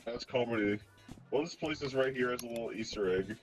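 A man bantering casually during a game, halting and repeating his phrases as he goes. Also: medium pitch, faint music behind, poor audio. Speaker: video game player. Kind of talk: casual banter while playing social VR.

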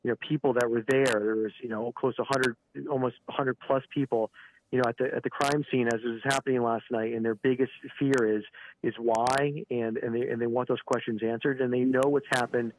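Guy on a phone interview for news, talks fast, lots of you knows, bit noisy. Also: mid-range voice. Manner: halting, repeats words, often says "you know". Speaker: regional director of an advocacy organisation, interview guest by phone. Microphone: telephone. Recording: phone call with clear background noise.

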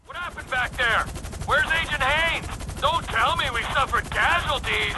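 A man's voice: very high-pitched, a little slow. A man voicing a mocking, cynical criminal pilot in a video game with sarcastic delivery. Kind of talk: video game action voice acting. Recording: helicopter and gunfire noise behind.

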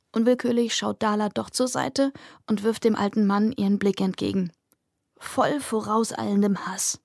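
Gravelly female audiobook narrator. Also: mid-range voice, darkish tone, no echo, studio quality. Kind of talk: scripted fantasy narration.

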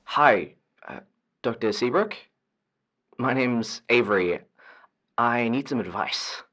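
A man's voice: medium pitch, animated, slow pace, a bit bright. A man playing an earnest, hesitant caller to an advice show in a naturalistic audio drama, mild in manner. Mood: hesitant, hopeful.

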